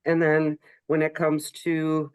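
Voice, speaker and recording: A woman's voice: sits low, completely flat delivery, slow pace, smooth, no echo, studio quality. A middle-aged woman from a state agency, talking through her frustrations on a video call in a rambling way, full of "you know". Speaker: state agency staff member. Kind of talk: casual video call discussion.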